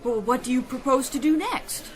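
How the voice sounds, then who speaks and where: A woman's voice: high voice, lively intonation, normal speed. A woman in a radio mystery playing a poised, skeptical confidante of a sleuth. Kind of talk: radio mystery drama acting. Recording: chattering crowd behind.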